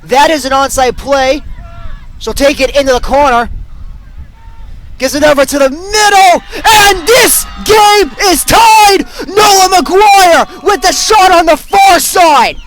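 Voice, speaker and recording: A young man doing excitable live play-by-play sports commentary as a student sportscaster. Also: extremely high pitch, slow pace, rough recording. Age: young adult. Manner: excitable, bursting into shouts on big plays.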